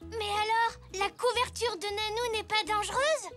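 A woman's voice: extremely high pitch, fairly even intonation, moderate pace, a bit bright, faint music behind. A woman voicing a curious, eager young zebra boy in a cartoon, full of questions. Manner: wondering, questioning. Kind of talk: French cartoon dubbing.

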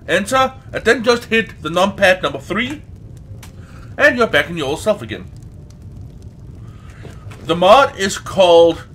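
A man who is not a native English speaker gives casual gameplay commentary, haltingly searching for words and swearing now and then. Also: on the high side, a bit bright, a little hiss or hum. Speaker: let's play host.

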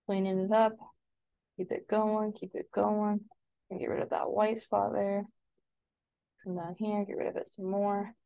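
A woman instructor talking informally through a step-by-step software tutorial as a screen-recording voice-over. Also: medium pitch, level tone, measured pace, creaky, pauses often, lo-fi sound.

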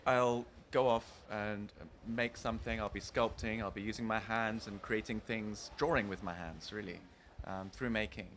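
A middle-aged man from Scotland, his voice on the low side, talks slowly and gropes for words with many pauses. He speaks into a handheld mic in a wide indoor room, with a faint hum in the background. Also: steady tone, a bit bright. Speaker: designer, interview guest. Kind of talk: casual interview answers. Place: large indoor showroom. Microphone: handheld mic held by interviewer.

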